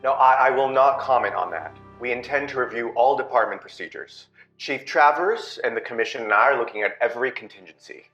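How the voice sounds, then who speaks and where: A man's voice: mid-range voice, animated, brisk, hardly any pauses, fairly bright tone, natural voice. A man playing a formal figure at a police press briefing in a script read. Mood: guarded. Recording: roomy, dull lo-fi with faint music.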